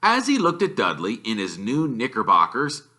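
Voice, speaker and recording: A man reads a storybook aloud like a teacher to a class. His voice is very bright and forceful, with lively swings in pitch. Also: moderate pace. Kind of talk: animated storybook narration.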